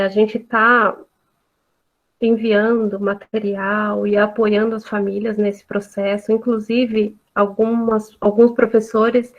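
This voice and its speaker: A woman teacher answering a parent on a video call, reassuring and often adding tag questions. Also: medium pitch. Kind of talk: online talk answering questions. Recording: tinny phone-like audio.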